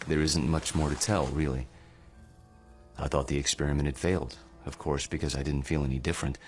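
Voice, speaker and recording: An actor playing a world-weary, grave and rueful immortal man in a radio drama, speaking in a measured, philosophical way. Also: rumbling low, fairly even intonation. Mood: reflective. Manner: measured, philosophical, deliberate delivery. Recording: radio drama with music underneath.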